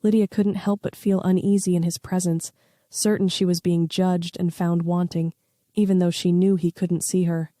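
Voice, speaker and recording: A woman's voice: on the low side, little pitch movement, normal speed, warm tone, no room sound, studio quality. A woman reading a scripted story aloud as its narrator.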